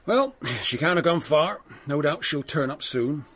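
An actor playing a polite, affable English police inspector with an English accent, courteous and probing in a radio drama. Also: male voice, fairly high pitch, lively intonation, measured pace, a bit bright, breathy edge, full character voice.

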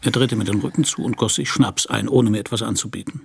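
A man playing a world-weary private detective who narrates his case in a hardboiled radio crime play, dry and laconic, with a husky, gravelly voice. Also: lowish voice, quick pace. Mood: wry, brooding. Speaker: narrator and lead actor.

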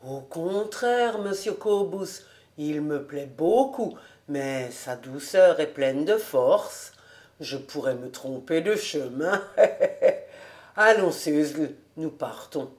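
A woman plays an old country farmer in a storybook, low in pitch and very unhurried. He is tipsy, pious and content, and speaks in deliberate exclamations. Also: lively intonation. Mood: good-natured, tipsy. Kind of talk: audiobook storytelling.

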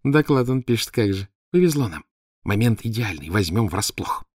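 A man's voice: on the low side, sing-song, normal speed, a little mellow, breathy edge, dry recording, clean studio sound. A man reading an audiobook who voices a bold, boastful young female assistant skilled in karate. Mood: cheeky. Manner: cheeky, boastful.

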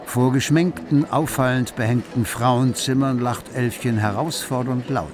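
A male actor plays a wry storyteller in a literary radio drama. His medium-pitched voice delivers the prose in measured, articulate, declamatory fashion. Music runs clearly underneath. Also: fairly even intonation, close to an everyday voice. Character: wry male narrator of a bohemian Berlin tale. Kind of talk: literary radio play narration. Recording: music behind the voice.

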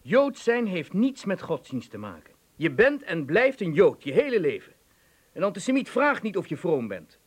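A zealous young movement's spokesman in a radio drama. His voice is high and very animated, declaiming each point insistently. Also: normal speed, slightly rough, heavily acted. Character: zealous secular Zionist activist man. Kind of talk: Dutch radio play oratory.

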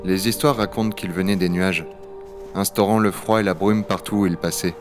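Man acting as low-voiced rugged dragon hunter. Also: fairly even intonation, moderate pace, music in the background, lightly acted. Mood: grave, reflective. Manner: gravely reflective narration, familiar offhand banter. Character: rugged, wry male dragon hunter in a frozen future. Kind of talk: French audio-fiction acting and first-person narration.

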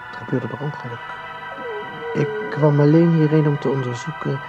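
An actor playing a learned time traveller in a radio play, calm, formal and didactic. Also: male voice, fairly high pitch, normal speed, lo-fi sound, over music, natural voice. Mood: grave, earnest. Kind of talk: Dutch radio play acting.

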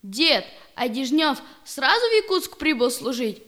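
A woman's voice: high voice, big pitch swings, unhurried, a bit bright, exaggerated voice. A woman playing an eager, curious grandchild asking questions in an audio play. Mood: curious, excited.

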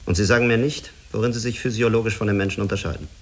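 An actor in a German radio drama playing a skeptical, authoritative spaceflight commander, questioning in a clipped manner. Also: male voice, on the low side, fairly even intonation, rapid-fire, close to an everyday voice. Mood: probing, skeptical. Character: hard, skeptical male spaceflight commander, probing and curt.

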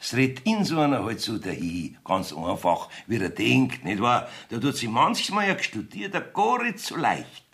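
A man's voice: mid-range voice, sing-song, moderate pace, hardly any pauses, a bit bright. An actor playing a wily, evasive village judge in a Bavarian dialect radio play, blustering and wheedling as he rambles. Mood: wheedling, veiled menace.

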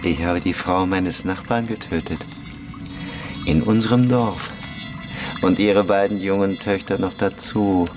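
A man playing a simple, remorseless prisoner in a German radio play, speaking in a deadpan, halting way. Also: low-pitched, over music. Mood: flat, matter-of-fact. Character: simple, matter-of-fact male prisoner, remorseless village bomber.

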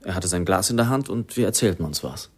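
Subdued, low-voiced barroom companion. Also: male voice, expressive, fast talker, faint music behind. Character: sombre barroom companion recalling a declining friend. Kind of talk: radio play reminiscence.

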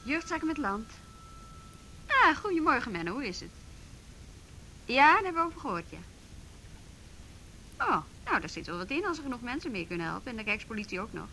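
An actress playing a busy, wry youth police officer in a naturalistic Dutch radio drama. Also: female voice, fairly high pitch, big pitch swings, brisk, long pauses, sharp tone, a little hiss or hum, exaggerated voice, rough recording. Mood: brisk, friendly. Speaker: actor in a radio play.

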